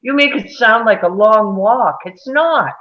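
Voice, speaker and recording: Lady playing combative juror in a play read, slow and scornful, very up and down, bit lo-fi. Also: on the high side, lively intonation. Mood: challenging. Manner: dismissive, challenging.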